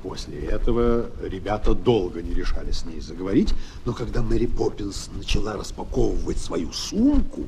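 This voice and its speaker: An actor playing a lively storyteller, narrating a dramatised children's storybook. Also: male voice, fairly low pitch, normal speed, hardly any pauses, slight background noise. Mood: expressive storytelling.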